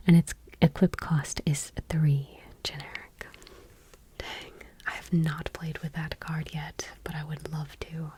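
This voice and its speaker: A woman softly reading aloud for ASMR in a breathy, whispery voice with a hushed, gentle delivery. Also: on the low side. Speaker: ASMR video host.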